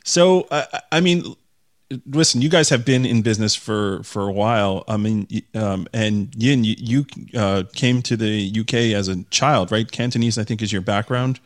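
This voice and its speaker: A man hosts an interview in a relaxed, conversational way, with plenty of ums and nods of agreement. His voice sits on the low side. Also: normal speed. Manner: relaxed, frequent affirmations and ums. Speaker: podcast host. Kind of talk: casual interview chat.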